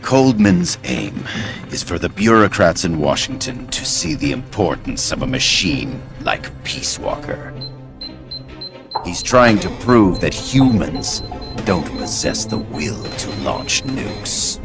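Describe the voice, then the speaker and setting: A grave, analytical figure in a video game drama. His very deep, creaky voice moves deliberately, rising and falling over clear background music. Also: big pitch swings, heavily acted. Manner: deliberate, explanatory.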